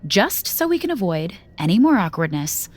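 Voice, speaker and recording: A woman's voice: medium pitch, very animated, normal speed, a little mellow, faint music behind. An actress playing an outspoken, wry noblewoman with a sardonic, challenging way of talking in an audio drama. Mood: exasperated, pointed. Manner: sardonic, challenging, casual modern phrasing. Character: outspoken, wry noblewoman, sharp-tongued and stubborn.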